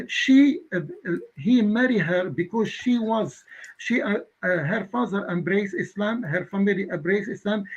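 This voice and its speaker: Halting man in his fifties, many pauses. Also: high voice, husky, dull audio. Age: 50s. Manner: halting, many filler pauses, non-native phrasing. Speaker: guest debater. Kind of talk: online debate.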